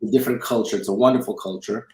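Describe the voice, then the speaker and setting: A man's voice: on the low side, steady tone, racing, darkish tone, echoey room, slight background noise, low-quality recording. A middle-aged man from Romania, raised in South Dakota, telling his story conversationally as an interview guest, often repeating and rephrasing himself. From Romania, raised in South Dakota from childhood. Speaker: interview guest, film executive producer and financial advisor.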